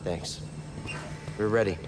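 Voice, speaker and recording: A man's voice: fairly low pitch, slow, noisy background. A young man playing an earnest, determined Jedi in a video game, speaking in an understated, sincere way. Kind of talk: cinematic video game acting. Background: music.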